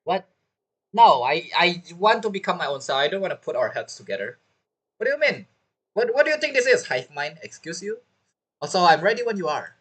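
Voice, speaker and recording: Animated, joking game streamer. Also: mid-range voice, brisk, breathy edge. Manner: joking, teasing, talks back to characters. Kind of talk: casual gameplay commentary.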